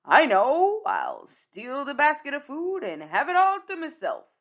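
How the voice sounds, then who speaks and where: A woman's voice: high voice, wildly swooping pitch, measured pace, pitched above the performer's own voice, exaggerated voice. A woman voicing a blustering male pirate villain from a storybook, talking in pirate speak on a dull recording. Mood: sly, blustering. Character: gruff pirate villain posing as big bad wolf.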